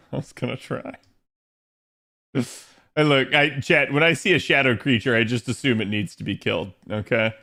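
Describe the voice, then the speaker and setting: A man's voice: mid-range voice, fairly even intonation, normal speed, vocal fry, long pauses, pushed and bright. Laid-back man streaming a game. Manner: laid-back, slangy, laughs at himself. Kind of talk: casual gaming stream chat.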